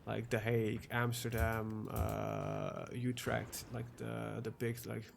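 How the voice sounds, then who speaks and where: Gravelly guy narrating gameplay. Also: on the low side, little pitch movement, takes it slowly, slight background noise. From Netherlands. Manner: rambling, chatty, trails off with fillers. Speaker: gaming video host. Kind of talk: casual gameplay commentary.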